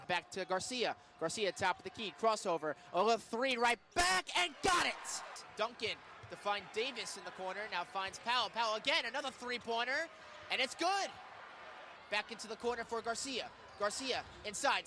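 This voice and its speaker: A young man doing live radio play-by-play of a basketball game in short, punchy, excitable phrases that can rise to shouts. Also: extremely high pitch. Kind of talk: live sports commentary. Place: courtside in a college basketball arena. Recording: loud arena crowd and court noise. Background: crowd, bouncing basketball.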